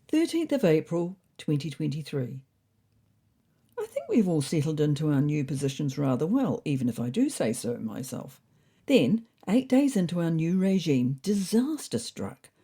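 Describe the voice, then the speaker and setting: Woman narrator, low-pitched, mellow, husky, animated intonation, scripted comic storytelling.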